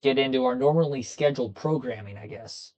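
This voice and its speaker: A young man with a slightly hoarse voice, chatting casually with viewers as he hosts a racing commentary livestream from a desk in a small room. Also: mid-range voice, fairly quick, darkish tone. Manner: chatty, talks straight to his viewers.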